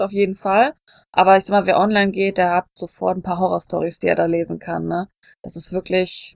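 Casting: woman, conversational podcast co-host, self-deprecating storyteller, medium pitch, dry sound. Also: vocal fry, dry recording, highs cut off. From Germany. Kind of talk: casual conversational podcast talk with personal anecdotes.

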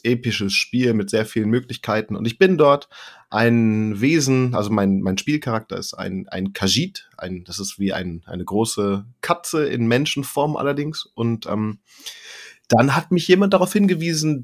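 A man talking as a podcast guest in casual conversation, rambling, interrupting himself and repeating phrases for emphasis. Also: medium pitch. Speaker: podcast guest, poetry slammer and author.

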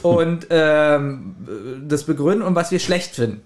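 A man around forty in casual podcast chat, telling anecdotes in a halting but animated way and acting out quotes. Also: on the high side. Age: 30s or 40s. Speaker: podcast co-host.

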